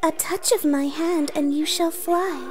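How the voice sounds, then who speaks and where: A small ghost girl, acted by a woman for a storybook. The voice is high, soft and airy, and it speaks with slow solemnity over light music. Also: fairly even intonation. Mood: solemn, gentle.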